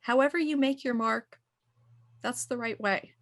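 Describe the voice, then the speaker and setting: A woman's voice: a little high, a little slow. An actress playing a loving, teasing mother leaving a voicemail for her daughter, heard as a distant phone message. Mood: affectionate. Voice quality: bright, fond, teasing.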